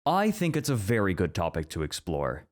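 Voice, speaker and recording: Deep-voiced bookish professor, played by a man. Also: huge pitch swings, a little mellow. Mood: helpful. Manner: measured, articulate, slightly stuffy. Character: bookish, earnest male professor, easily flustered by teasing. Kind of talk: ASMR roleplay acting.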